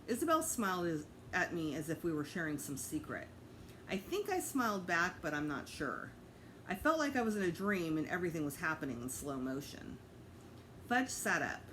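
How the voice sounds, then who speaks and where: Female teacher, storybook narration for kids: very expressive intonation, slightly low pitch, faint noise behind. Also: normal speed, rough recording. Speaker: teacher reading aloud to students.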